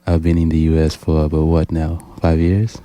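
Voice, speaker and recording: A young adult man from Tanzania, a drummer and bassist, introducing himself in a friendly, relaxed way. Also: moderate pace, dry recording. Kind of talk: casual interview chat.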